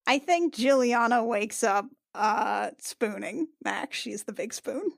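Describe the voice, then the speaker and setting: Woman on a tabletop game podcast, kinda high voice, dry sound, playful casual storytelling. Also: lively intonation, slow pace, creaky, no room sound. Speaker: player in a tabletop roleplaying podcast. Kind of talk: casual, joking improvised storytelling.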